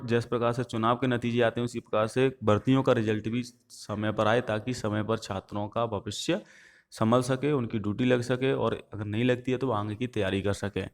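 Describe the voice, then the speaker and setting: A man's voice: mid-range voice, clean tone. A man giving viewers an informational update as the host of an online video channel. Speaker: YouTube host giving exam news updates.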